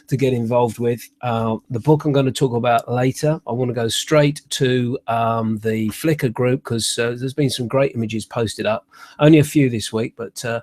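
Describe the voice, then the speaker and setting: A man's voice: mid-range voice, darkish tone, no echo. A middle-aged man with a cold, sounding snuffly, giving a chatty, hesitant photography critique over a webcam in a small room. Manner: chatty, hesitant, many uhs and restarts. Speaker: photography tutor. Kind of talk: live online workshop critique. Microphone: webcam on a computer.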